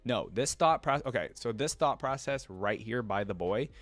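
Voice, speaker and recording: A man's voice: mid-range voice, animated, moderate pace, no room sound. A man hosting a reaction video, reading a fantasy story aloud and breaking off for casual comments.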